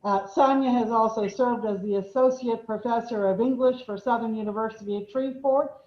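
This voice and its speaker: Woman hosting, brisk and joking at herself, muffled echoey call audio. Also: a little high, fairly even intonation. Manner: brisk, self-deprecating. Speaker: host introducing presenters. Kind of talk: online presentation. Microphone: video call.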